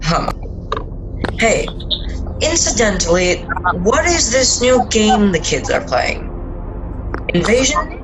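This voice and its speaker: A woman's voice: mid-range voice, very animated, slow pace, pauses often, loud music behind the voice, rough recording. A woman playing an easygoing, teasing suburban husband and father, with a casual, folksy manner, in an amateur radio drama. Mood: casual, puzzled.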